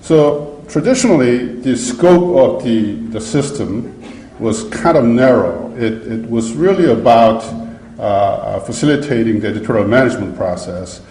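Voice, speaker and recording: A man gives a seminar presentation in a mellow, relaxed voice that carries through a roomy hall. He speaks in a halting way, with frequent fillers and restarts as he works through his points. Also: mid-range voice, slight background noise. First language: English. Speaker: academic conference speaker. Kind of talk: conference presentation. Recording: echoey lecture hall.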